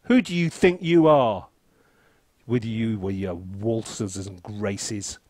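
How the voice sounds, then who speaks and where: A man playing a domineering master in comic style, with drawn-out, mock-imperious theatrical delivery. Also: on the low side, a little mellow, dry recording, studio quality. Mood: mock indignant.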